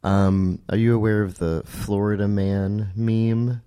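A man's voice: lowish voice, little pitch movement, slow pace, mellow, clear, resonant tone. A man hosting an interview show, asking laid-back, rambling questions. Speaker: podcast host. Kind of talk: casual interview.

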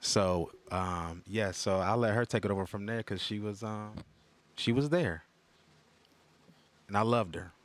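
Very deep-voiced man in his thirties. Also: measured pace, long pauses. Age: 30s. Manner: teasing, playful. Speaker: guest. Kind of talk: casual chat.

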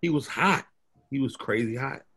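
Bright-voiced man, animated storyteller. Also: mid-range voice, moderate pace, husky. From Chicago. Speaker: comedian. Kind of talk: casual conversation.

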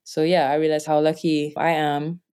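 Lively low-voiced woman telling her story. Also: moderate pace, clear, resonant tone. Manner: animated, laughs often, jumps up in pitch when quoting others. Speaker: interviewee. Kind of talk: reflective conversational storytelling.

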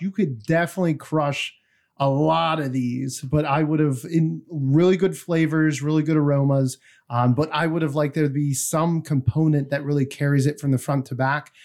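A man with a somewhat high voice, talking through a beer's flavors in a relaxed, chatty way. He sounds casual and conversational. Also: normal speed. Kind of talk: casual chat.

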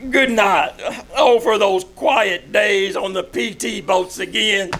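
Radio play navy commander, male actor: very high-pitched for a man, pompous, blustery, indignant. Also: slow, a bit bright, exaggerated voice. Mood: deflated. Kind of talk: old-time radio comedy acting.

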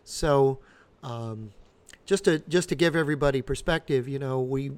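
A man in his fifties co-hosting a podcast, explaining things casually in a measured, halting way. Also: medium pitch, clear, resonant tone. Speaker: podcast co-host.

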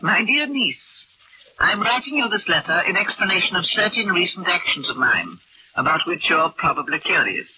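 An actress playing a genteel, lonely elderly widow in a radio drama, narrating in prim, precise, old-fashioned speech. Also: female voice, fairly low pitch, expressive, normal speed, very noisy, natural voice. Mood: prim, reflective. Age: older. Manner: prim, proper, precise diction. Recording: muffled old radio recording with music behind.

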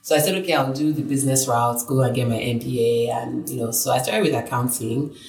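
Animated Nigerian woman, echoey distant mic. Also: extremely low pitch, vocal fry. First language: Yoruba. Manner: animated, quick, many "like" fillers. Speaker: guest. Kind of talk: conversational storytelling interview. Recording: roomy echo, voice off-mic.

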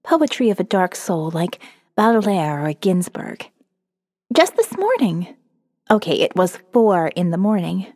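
A woman's voice: fairly low pitch, big pitch swings, measured pace, darkish tone, dry recording, studio quality, in a large hall. A woman reading as a sardonic young college student narrating her survivor's diary in a wry, profane, conversational way for an audio novel. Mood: wry, confiding. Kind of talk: first-person diary narration, dramatic reading.

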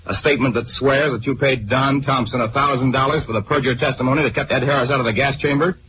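An actor playing a brash, cocky young newspaper reporter, combative and slangy, in a 1940s radio drama. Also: male voice, mid-range voice, fairly even intonation, fast, lightly acted. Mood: taunting, angry. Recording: noisy, muffled 1940s radio recording.

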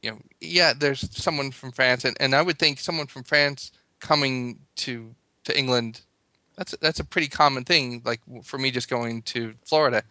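American host, rambling casual talker, crisp and dry. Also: male voice, medium pitch, moderate pace, bright, forceful tone, no echo. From United States. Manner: rambling, full of fillers. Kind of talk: casual podcast chat.

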